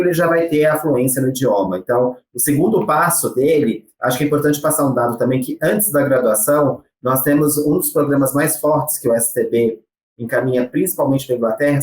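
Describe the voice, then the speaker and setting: A man's voice: mid-range voice, a little mellow. Brazilian man in an echoey room. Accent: Brazilian Portuguese. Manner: measured, with frequent hesitation fillers. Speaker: guest from a study-abroad agency. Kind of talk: explanatory interview answers.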